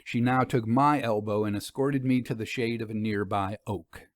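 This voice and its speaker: A man giving an articulate, expressive scripted narration of a story. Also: fairly low pitch, normal speed, dry recording, studio quality. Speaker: audiobook narrator.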